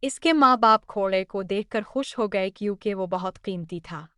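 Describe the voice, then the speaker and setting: Woman, scripted story narration, crisp voice, mid pitch, dry close sound. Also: moderate pace, fairly bright tone, no echo.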